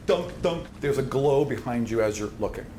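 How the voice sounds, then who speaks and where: A man's voice: medium pitch, lively intonation, normal speed, dark tone. A man running a tabletop game as game master, describing scenes dramatically and voicing sound effects himself. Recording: roomy, with clear background noise.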